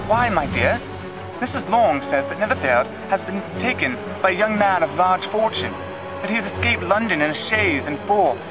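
A man reading an audiobook and voicing a fretful, excitable middle-aged mother with a high, fussy manner. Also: moderate pace. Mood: excited. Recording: lo-fi with music behind.

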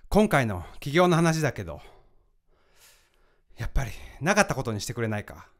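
A man's voice: medium pitch, sing-song, moderate pace, lightly acted. A man acting in a radio drama as a troubled, absent-minded president of a new startup, speaking hesitantly. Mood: troubled, resigned.

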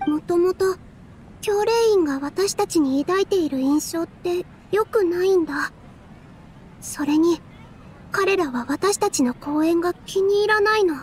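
女性が演じる、ゲームの若い踊り子。柔らかく丁寧で誠実な話し方の優しい娘。. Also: female voice, extremely high pitch, unhurried, a little hiss or hum. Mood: earnest, troubled. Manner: soft, patient, sincere. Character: gentle, earnest young female dancer, encouraging friend.

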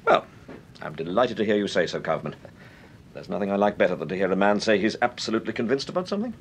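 Male actor, unflappable gentleman sleuth for vintage radio drama, animated delivery, faint rumbling noise. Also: quick pace, natural voice. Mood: drily mocking. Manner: urbane, drily ironic. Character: suave, unflappable male amateur detective. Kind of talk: old radio detective serial acting.

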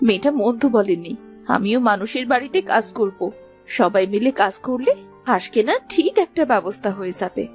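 A woman's voice: medium pitch, lively intonation, fairly quick, faint music behind, natural voice. A woman playing a careworn, practical village mother in a Bengali cartoon story, earnest and entreating. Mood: resolute.

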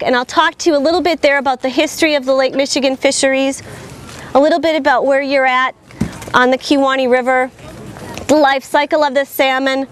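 A young woman naturalist guiding a tour outdoors, talking with a cold and slightly losing her voice, steady and informative with frequent ums. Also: high voice, slight background noise. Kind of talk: outdoor guided tour talk. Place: outdoors by a river facility with a crowd. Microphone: far camera, no visible mic.

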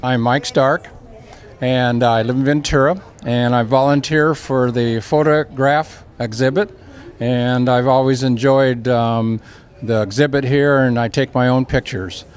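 A man's voice: medium pitch, fairly even intonation, unhurried, smooth. An American man in his sixties talking informally in an interview as a volunteer. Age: 60s. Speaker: volunteer and photographer. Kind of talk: unscripted interview talk. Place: indoor exhibit hall. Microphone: handheld microphone.

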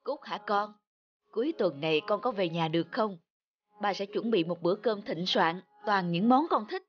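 Casting: big, gentle old working dad for animated drama; tender, doting, unhurried delivery. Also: male voice, sits high, lo-fi sound. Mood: hopeful. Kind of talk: cartoon drama voice acting.

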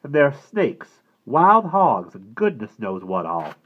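A man's voice: mid-range voice, wildly swooping pitch, a little slow, breathy edge, voice pushed up, heavily acted. A man voicing a worried, protective country mother in a read-aloud story, speaking in an anxious, pleading way. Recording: tinny phone-like sound.

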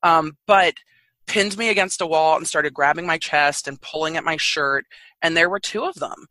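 A woman in her thirties with a low voice, telling her story openly and frankly as a podcast guest. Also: normal speed, dry recording. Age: 30s. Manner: open, frank, lively. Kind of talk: candid conversational storytelling.

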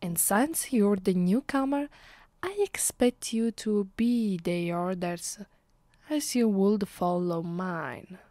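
A woman with an Italian accent voicing a haughty, sadistic vampire mistress in a close-miked ASMR roleplay, soft and breathy, often dropping to a whisper, commanding and deliberate. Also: medium pitch, no room sound, lightly acted. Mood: cool, commanding. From Italy. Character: haughty, sadistic female vampire mistress savoring her power. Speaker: voice actress. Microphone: close microphone.

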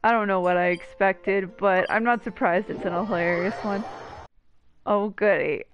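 A woman's voice: mid-range voice, moderate pace, muffled recording. A woman streaming a video game, giving casual, joking commentary as she plays. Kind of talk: casual live gameplay commentary. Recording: stream mic over game music. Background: game music.

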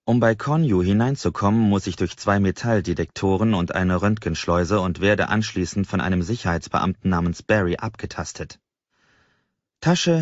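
Deep-voiced male audiobook narrator. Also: no room sound, highs cut off. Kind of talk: scripted first-person novel narration.

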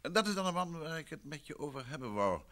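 An actor playing a composed, inquisitive detective in a Dutch radio play, politely probing. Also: male voice, a little high, very animated, quick pace, bright, forceful tone, exaggerated voice. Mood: probing, friendly.

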